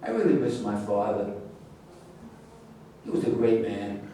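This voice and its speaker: A man's voice: lowish voice, sing-song, unhurried, mellow. A man in a staged reading, playing a touchy, defensive sports star. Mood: wistful. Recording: very echoey room, distant mic, faint noise.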